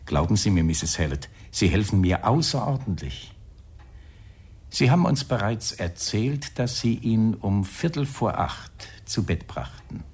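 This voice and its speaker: A sardonic, grumbling police inspector in a radio crime drama. His low, husky voice moves widely in pitch. He speaks with measured insistence, like someone weary of the job and determined to get answers. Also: lively intonation, a little mellow. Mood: probing, insistent.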